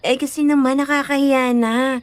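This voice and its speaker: A woman's voice: high-pitched, flat delivery, normal speed, no room sound, natural voice. A woman playing a polite, slightly anxious young city woman in an audio drama, hesitant and apologetic. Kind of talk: dramatised audio horror story acting.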